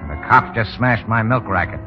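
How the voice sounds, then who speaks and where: An American man playing a callous, grasping gangster in a 1930s radio drama, with blunt, slangy tough-guy talk and a rough voice. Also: fairly low pitch, normal speed, over music, lightly acted. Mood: cold, assertive. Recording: dull, lo-fi 1930s radio recording.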